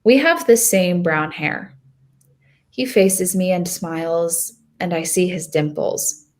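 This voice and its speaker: A woman playing a hopeful, nervous young girl who tells her own storybook tale in a deliberate, expressive reading. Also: fairly low pitch. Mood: narrating, earnest. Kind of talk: first-person storybook narration.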